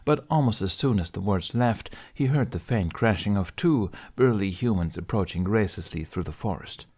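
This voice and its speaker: Low-pitched man narrating a story. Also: animated, moderate pace, darkish tone, slightly rough. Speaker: audiobook narrator.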